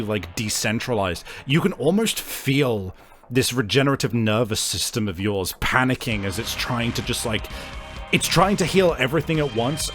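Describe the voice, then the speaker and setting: A man acting as game master, improvising narration for a tabletop horror game in halting descriptions. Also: medium pitch.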